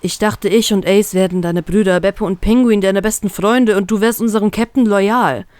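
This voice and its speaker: A woman's voice: mid-range voice, lively intonation, normal speed, no room sound, close to an everyday voice. A woman reader voicing a young male pirate crewmate who speaks in an upset, rising way. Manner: upset, getting louder.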